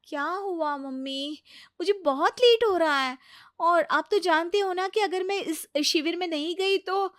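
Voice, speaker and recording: A woman's voice: very high-pitched, a little slow, fairly bright tone, dry recording, studio quality, voice pushed up. A woman voicing a self-important, busy young daughter-in-law with a dismissive manner in a dramatised moral story. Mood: hurried.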